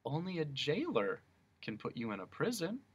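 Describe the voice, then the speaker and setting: A man voicing a timid, polite young boy who speaks softly and hesitantly. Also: on the high side, huge pitch swings, normal speed, slightly rough, pitched above the performer's own voice, full character voice. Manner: soft and hesitant.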